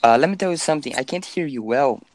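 An eighteen-year-old man from Brazil, a native Portuguese speaker, talking on a casual call. He speaks haltingly and searches for his words, in a dry, close sound. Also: medium pitch, lively intonation, dry recording.

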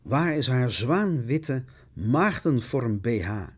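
A man's voice: medium pitch, very animated, slow pace, breathy edge. A man narrating a scripted radio essay in a melodramatic, mock-dramatic, declamatory manner.

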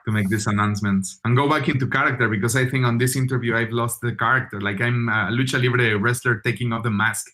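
A man with a Mexican accent, speaking as a guest calling in from far away. He tells football stories in an animated way and laughs easily. His voice sits at an ordinary middle pitch for a man. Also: quick pace, few pauses. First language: Spanish. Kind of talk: casual storytelling chat.

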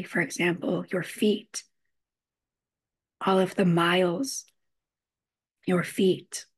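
Lower-pitched woman guiding a meditation. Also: husky. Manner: measured phrases with frequent pauses. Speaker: guided meditation leader. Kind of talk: guided meditation, slow soothing instruction.

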